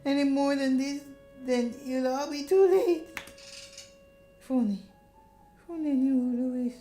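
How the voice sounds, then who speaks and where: A woman's voice: high voice, unhurried, warm tone, roomy sound, soft background music, voice pushed up. A woman voicing an anxious, desperate female client in a horror visual novel, pleading with urgent emotion. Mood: desperate, agitated. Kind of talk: visual novel character read-aloud.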